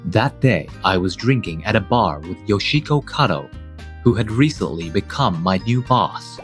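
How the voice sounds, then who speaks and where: A man's voice: lowish voice, expressive, moderate pace, faint music behind, natural voice. A man playing a devoted, conflicted guardian who narrates his own story in a manga dub. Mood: troubled, reflective.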